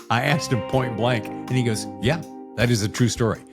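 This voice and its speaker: A man's voice: mid-range voice, moderate pace, over loud music. A man hosting a podcast in a casual, chatty style, with a folksy, effusive showman's way of talking. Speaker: podcast host.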